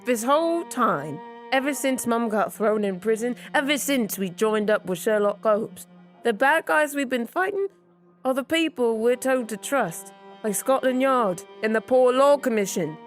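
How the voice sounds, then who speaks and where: Defiant, high-voiced street girl. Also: lively intonation, unhurried, soft background music. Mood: bitter, angry. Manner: blunt, challenging, sarcastic. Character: defiant, angry street girl, headstrong and bitter. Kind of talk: audio drama acting.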